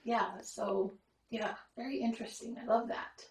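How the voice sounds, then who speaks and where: A woman's voice: medium pitch, steady tone, moderate pace, reverberant. A woman hosting a podcast, talking in a rambling, reflective way with many fillers and restarts. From Romania. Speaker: podcast host. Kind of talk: casual conversation.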